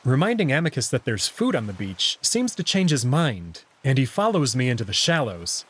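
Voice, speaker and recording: A man's voice: mid-range voice, big pitch swings, moderate pace, breathy edge. A man reading scripted first-person story narration from a visual novel, with acted dialogue. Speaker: narrator and voice reader.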